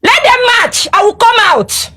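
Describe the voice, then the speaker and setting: Fiery Nigerian woman, high and lively. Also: measured pace, no echo, clean studio sound. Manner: fiery, rhetorical, emphatic. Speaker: panelist. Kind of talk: impassioned debate.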